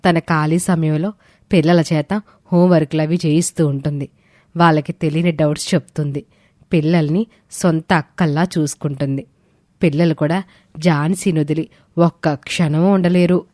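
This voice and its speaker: A woman voicing an approving hostel warden in a dramatised story, measured and admiring. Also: low-pitched, fairly even intonation, darkish tone, no room sound, clean studio sound, natural voice. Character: approving hostel warden praising a model girl. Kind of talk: dramatised moral story acting.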